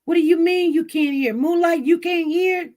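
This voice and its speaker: A live-stream host chatting casually, talking loudly and speaking straight to the viewers in the chat. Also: very high voice, moderate pace. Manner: chatty, addresses the chat directly, loud and animated. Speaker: livestream host.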